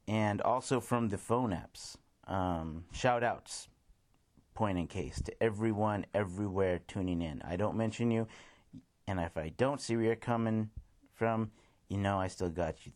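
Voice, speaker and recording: A man hosting his own music radio show, talking casually and rambling as he thinks aloud, with restarts and shout-outs to his listeners. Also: deep voice. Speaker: podcast host.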